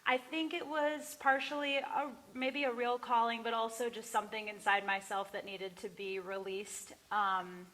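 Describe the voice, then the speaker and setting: A woman speaking casually and personally before a performance, earnest and halting, recorded live in a barn. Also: on the high side, steady tone, sharp tone, in a large hall. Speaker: singer and songwriter introducing own songs. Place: barn, small live gathering.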